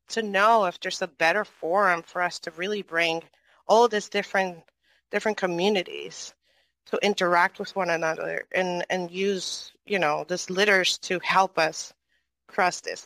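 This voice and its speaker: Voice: mid-range voice, pushed and bright, no room sound, rough recording. Rambling moderator from Venezuela. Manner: rambling, tags questions with "right?". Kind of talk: casual online audio chat.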